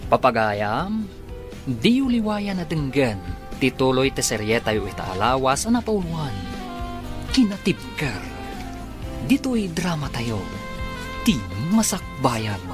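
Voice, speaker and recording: A man's voice: medium pitch, very animated, slow, long pauses. A man with a Filipino Ilocano accent narrating and announcing a radio drama serial in a scripted style, over music. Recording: music behind the voice.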